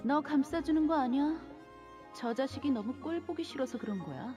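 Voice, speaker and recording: An actress playing a sharp-tongued, scornful young woman who nags, in a game. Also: high voice, lively intonation, rapid-fire. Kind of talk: scripted game voice acting. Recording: game music under dialogue.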